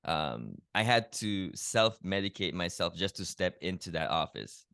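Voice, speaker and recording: Deep-voiced man, earnest and reflective. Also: very animated, a little slow, vocal fry, pushed and bright, smooth, dry recording, clean studio sound. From Philippines. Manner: earnest, reflective, many "you know" fillers. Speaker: guest, keto health coach. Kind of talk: personal story in a podcast interview.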